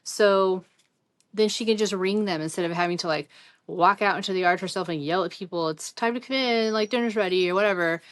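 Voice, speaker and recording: Middle aged lady doing a chatty review, kinda rambly, medium pitch, really dry sound no room echo. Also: fairly quick. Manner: rambling, conversational, informal. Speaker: product reviewer. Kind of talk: casual chatty product review.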